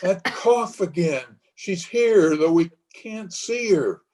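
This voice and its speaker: A man's voice: high voice, animated, slow pace, darkish tone, full character voice. A man playing a guilt-ridden killer raving with dread in a melodramatic old-time radio horror play. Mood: haunted dread. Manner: melodramatic, feverish, repeating words.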